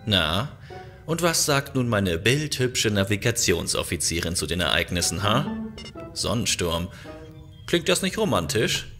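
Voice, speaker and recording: A man's voice: on the low side, very animated, unhurried, sharp tone, exaggerated voice. A man playing an easygoing spaceship captain in a science-fiction radio play. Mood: playful. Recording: music behind the voice.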